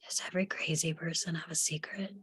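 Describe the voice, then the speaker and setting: A woman's voice: low-pitched, steady tone, fast talker, a bit bright, breathy edge, in a whisper, exaggerated voice. An actress playing a sensitive, troubled, introspective young woman in a screenplay table read. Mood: quiet, brooding.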